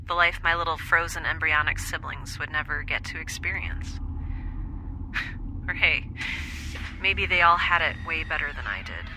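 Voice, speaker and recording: A wry, anxious young woman scientist, confides in a halting, conversational way, like a personal log in a sci-fi audio drama. Her voice is slightly low for a woman and very crisp and bright, over faint background noise. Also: exaggerated voice, rough recording.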